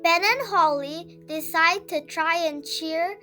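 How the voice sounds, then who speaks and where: A woman reading a children's story aloud in an expressive, scripted storytelling manner. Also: very high voice, takes it slowly, soft background music. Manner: expressive read-aloud delivery with character voices. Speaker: storybook reader.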